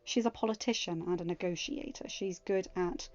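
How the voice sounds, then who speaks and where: A woman narrating a fan story in a steady reading voice, then chatting casually to listeners. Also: lowish voice, normal speed, dull audio. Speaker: fanfiction audiobook reader.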